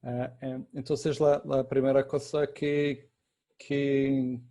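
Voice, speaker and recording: A consultant giving a webinar masterclass, speaking slowly in a second language that is not his native Portuguese, with frequent fillers and repeated words. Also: mid-range voice, little pitch movement, mellow, soft background music. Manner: slow and deliberate, many fillers and repeated words. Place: online webinar.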